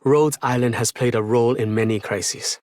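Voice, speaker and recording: A man's voice: mid-range voice, steady tone, normal speed, darkish tone, dry recording, clean studio sound, lightly acted. A man playing a formal, dignified official in scripted video game voice lines. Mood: formal, assured. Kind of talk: scripted game character voice line.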